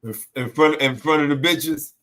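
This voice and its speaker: Middle-aged man, voice a little high, slangy and conversational, clarifying where he stands. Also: moderate pace, fairly bright tone. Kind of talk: casual chat with street slang.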